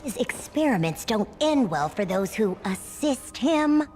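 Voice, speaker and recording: A woman playing a perky clown villainess in a video game, with a squeaky, sing-song delivery and reverb around her. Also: very animated, measured pace, darkish tone, soft background music. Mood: alarmed. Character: bubbly clown villain's girlfriend, adoring and perky. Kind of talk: animated video game acting.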